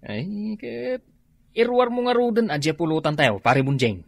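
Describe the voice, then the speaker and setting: Groggy, husky Ilocano drinking buddy. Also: male voice, high voice, animated, moderate pace, dry recording, studio quality, heavily acted. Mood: easygoing. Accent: Ilocano, northern Philippines. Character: groggy, hungover male drinking buddy, easily coaxed. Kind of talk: comedy radio drama acting.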